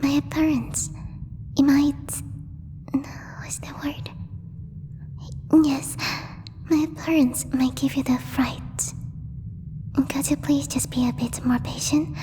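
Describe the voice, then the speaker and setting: A woman voicing a gentle, timid alien girl in a soft, breathy ASMR roleplay, speaking formally and haltingly as she searches for words. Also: high voice, fairly even intonation. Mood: nervous, hesitant. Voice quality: breathy, whispery. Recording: long reverb, faint background noise.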